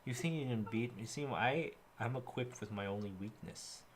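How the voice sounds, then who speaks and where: A man co-hosting a casual comedy stream, rambling through deadpan jokes. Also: medium pitch, normal speed, a little hiss or hum. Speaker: podcast co-host.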